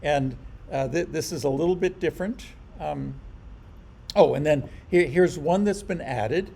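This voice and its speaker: A man gives an informal programming lecture, stopping and restarting his sentences as he goes. His voice is deeply gravelly and dark in tone. A faint hum of noise sits behind him. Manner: halting, restarts often, dry humor. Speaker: programming instructor.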